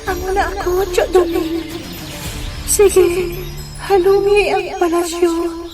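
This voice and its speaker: A woman's voice: very high voice, flat delivery, slow pace, music in the background. A woman playing an earnest young helper in a comic audio drama, speaking emphatically with a Filipino accent. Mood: earnest, urgent.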